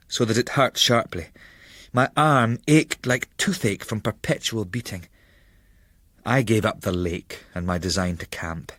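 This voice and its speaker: A man reading a literary travel memoir as a narrator, in a measured, formal storytelling manner. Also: fairly low pitch, no room sound, clean studio sound. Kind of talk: dramatic literary audiobook narration.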